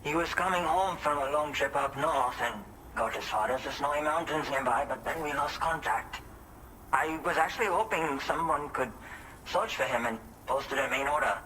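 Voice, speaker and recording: An actor playing a polite, earnest, apologetic son in a video game. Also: male voice, a little high, moderate pace, bright, forceful tone, slight background noise, low-quality recording. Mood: worried, hopeful. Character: polite, earnest son minding his father's shelter. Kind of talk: scripted game dialogue.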